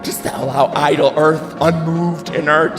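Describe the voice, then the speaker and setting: An actor playing an anguished, ailing father in a classical tragedy, declaiming grave, halting verse in a grandiloquent way. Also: fairly high pitch, little pitch movement, natural voice. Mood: despairing, imploring. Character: anguished ailing father, estranged brother of a tyrant king. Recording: long hall reverb, music underneath.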